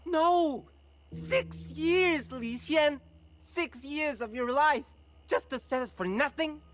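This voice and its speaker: A woman's voice: high-pitched, takes it slowly. A woman voicing a stubborn, bratty young little brother in a video game, whiny and protesting. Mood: upset, pleading. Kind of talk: animated game voice acting.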